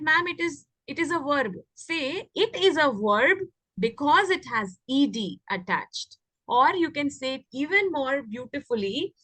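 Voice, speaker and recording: Indian female schoolteacher, higher voice, explains and quizzes young pupils, very dry recording. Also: sharp tone, dry recording, rough recording. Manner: explanatory, checks understanding with frequent questions. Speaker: teacher of an online class. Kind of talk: interactive English grammar lesson for children.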